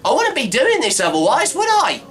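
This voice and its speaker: Man playing a loud Cockney market trader, bright voice, pushy patter, quick shouted sales pitch. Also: huge pitch swings, heavily acted. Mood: chummy. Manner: pushy patter, says "of course" constantly. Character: brash male Cockney market trader hawking goods. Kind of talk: comedy sketch character monologue.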